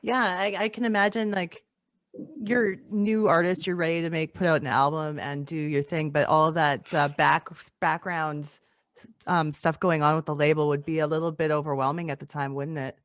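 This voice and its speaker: A woman's voice: deep voice, steady tone, moderate pace, no echo, clean studio sound. A woman hosting a casual interview podcast, listening attentively and responding in an agreeing, conversational way.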